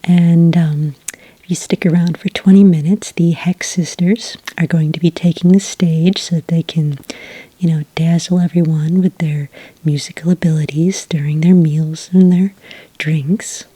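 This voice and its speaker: Breathy, whispering low-voiced woman. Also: steady tone, no echo. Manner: gentle, unhurried, chatty, slightly hesitant. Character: friendly, obliging witch serving at a quaint cafe. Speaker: ASMR roleplay host playing a cafe witch. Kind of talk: soft-spoken whispered ASMR customer-service roleplay. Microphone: close, dry microphone.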